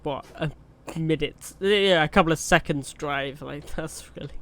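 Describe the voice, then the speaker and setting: A man's voice: on the high side, a little slow, a bit bright. A young man with a cold, chatting casually over a truck driving game, rambling with fillers and restarts. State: has a cold. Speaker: gaming YouTuber. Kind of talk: casual gameplay commentary.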